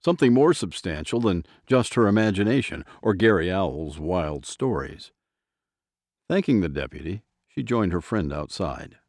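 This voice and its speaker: A man's voice: sits low, sing-song, moderate pace, darkish tone, no echo, clean studio sound. A man reading scripted story narration for an audio drama.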